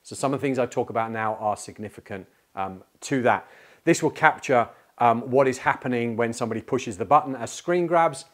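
A man in his forties presenting a tech product informally to camera, explaining as he goes with hesitant pauses, on a lapel mic in a small studio room. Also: mid-range voice.

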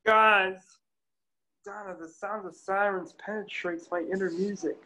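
A man's voice: high-pitched, steady tone, measured pace, vocal fry, slight background noise, full character voice. A man reading a frazzled, whiny bar owner. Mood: exasperated. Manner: exasperated shouting, whiny complaints. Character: easily annoyed male bar owner Steve, frantic. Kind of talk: amateur table read over video call. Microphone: laptop webcam mic on video call.

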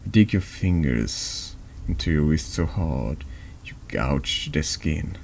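Man, extremely low voice, slow dramatic horror narration, halting delivery, some background noise. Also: expressive, vocal fry, a little mellow. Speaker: VTuber streamer reading a text game aloud.

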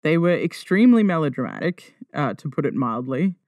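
A woman's voice: low-pitched, very animated, a little fast, a little mellow, dry recording. A woman hosting a podcast solo in a casual, conversational way, wry and self-deprecating. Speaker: podcast host. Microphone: close podcast microphone.

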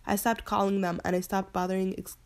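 Young woman with a gravelly voice. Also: mid-range voice, little pitch movement, a little fast. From Palestine. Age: young adult. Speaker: student narrating her own immigration story. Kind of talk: personal narration.

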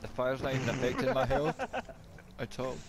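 A man's voice: mid-range voice, flat delivery, brisk. A man bantering casually over an online game, boisterous, sweary and giggly, on a noisy voice chat. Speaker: video game player.

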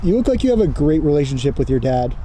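A man's voice: a little high, big pitch swings, fast, dark tone, a little hiss or hum. A man, calling shots and joking dryly on a golf course. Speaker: golfer in a match. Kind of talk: casual commentary and banter.

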